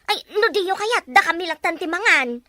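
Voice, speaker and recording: Lady doing a sweet excitable girl in a radio drama, super high voice that swoops around, gushy. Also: normal speed, no echo, studio quality, full character voice. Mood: chatty, eager. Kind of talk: melodramatic radio-style drama acting.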